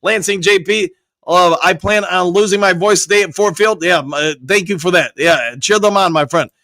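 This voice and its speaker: A man's voice: sits high, normal speed, pushed and bright, no room sound, studio quality. A man hosting a live stream, reading viewers' comments aloud and answering them upbeat, his voice nearly worn out. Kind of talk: casual live-stream chat.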